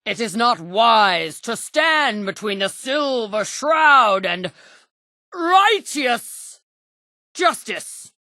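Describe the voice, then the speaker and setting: A woman voicing a grandiose pulp vigilante hero who makes slow, booming, melodramatic proclamations in game dialogue. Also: big pitch swings, bright, forceful tone, no room sound, clean studio sound, heavily acted.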